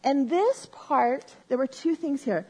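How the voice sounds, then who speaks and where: A woman teaching a Bible lesson to a live group on a microphone, explaining slowly and step by step and often checking in with "right?". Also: high voice, expressive. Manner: says she has a loud voice. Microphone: handheld or stand mic.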